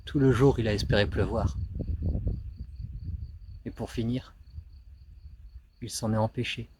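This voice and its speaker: A man acting an anguished, bitter and dreamy teenage schoolboy in a declamatory theatrical monologue, swinging between outbursts and hushed musing. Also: fairly low pitch, steady tone, a little slow, a little hiss or hum, natural voice, rough recording. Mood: dreamy, melancholy.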